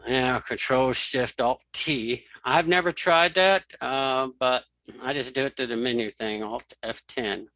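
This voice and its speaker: A man giving a casual spoken software walkthrough as an instructor, thinking aloud informally as he demonstrates. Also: medium pitch, a little slow, dry recording. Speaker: screen reader tutorial instructor.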